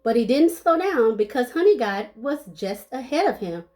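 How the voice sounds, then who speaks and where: A woman's voice: on the high side, big pitch swings, moderate pace, dark tone. A woman reading a children's story aloud expressively, playing up the sound words and adding short asides to her listeners. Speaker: storybook reader.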